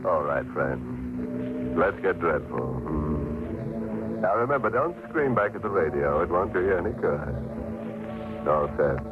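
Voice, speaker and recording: A man's voice: extremely low pitch, expressive, slow, exaggerated voice. A man playing a gleefully morbid, mock-cordial horror show host on 1940s radio, drawling with droll relish. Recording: muffled, tinny 1940s radio, organ music behind.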